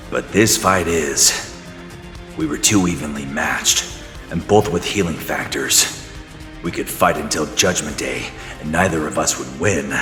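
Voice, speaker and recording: A man playing a gruff, weary, world-worn superhero in an audio drama, with a low gravelly growl and terse, slangy speech. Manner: terse, tough, folksy slang. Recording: long cavernous reverb, music underneath. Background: dramatic music.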